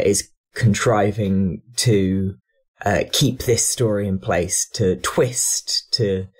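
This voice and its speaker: A man on a discussion podcast, giving a descriptive summary in halting phrases with ornate wording. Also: lowish voice, a little mellow. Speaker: podcast panellist.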